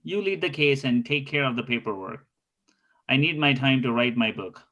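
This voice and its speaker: Man as bright, self-assured quirky detective. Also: mid-range voice, moderate pace, lightly acted. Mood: smug, breezy. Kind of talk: amateur script table read.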